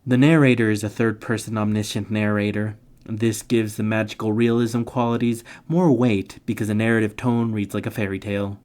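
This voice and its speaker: A man with a fairly low voice delivers a scripted, explanatory narration. He sounds playful and tongue-in-cheek, dropping slangy comic asides in among the more formal lines. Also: flat delivery, a little fast, a little mellow. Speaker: narrator of literature summary videos.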